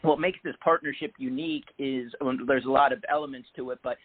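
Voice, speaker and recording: A man being interviewed as a guest, explaining things in a measured way with frequent "uh"s and "um"s. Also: mid-range voice, steady tone, slightly rough. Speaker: guest, sports executive. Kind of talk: interview answers. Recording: phone-like narrow band audio.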